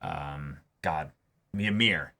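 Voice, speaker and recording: A man's voice: very deep voice, drawn-out words, creaky, pushed and bright. A man hosting an anime reaction show, reading viewer comments aloud and discussing them casually with emphatic delivery.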